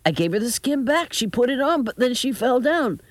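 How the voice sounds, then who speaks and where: A woman's voice: fairly high pitch, sing-song, normal speed, no echo, pitched above the performer's own voice. A woman voicing a distraught, foolish young grandson who pleads and makes excuses in a panicky way.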